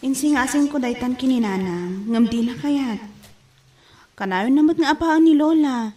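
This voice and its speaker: A woman's voice: high voice, normal speed, dark tone. A woman with an Ilocano accent playing an anxious, reproachful young daughter in an audio drama. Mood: worried complaining.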